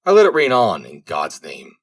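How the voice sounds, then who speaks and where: A man's voice: low-pitched, huge pitch swings, moderate pace, full character voice. A man voicing a placid, lazy storybook servant with a shrugging, nonchalant way of talking.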